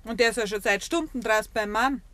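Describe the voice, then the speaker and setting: A woman's voice: fairly high pitch, fast talker, fairly bright tone, no room sound, natural voice. An actress playing a self-assured young woman with a Bavarian accent, matter-of-fact, in a 1950s dialect radio play.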